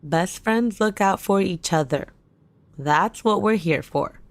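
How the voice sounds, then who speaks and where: Supportive young best friend, slightly low voice, dry close sound, practical and reassuring. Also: female voice, takes it slowly, no echo. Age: young adult. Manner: reassuring, casual. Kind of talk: audio drama acting.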